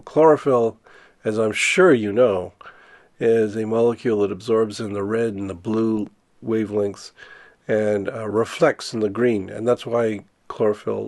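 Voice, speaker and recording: Older male educator with a cold: gravelly, stuffy, plain casual explaining. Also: on the low side, darkish tone. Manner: unhurried, restarts phrases while explaining. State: congested from a bad cold. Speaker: science educator.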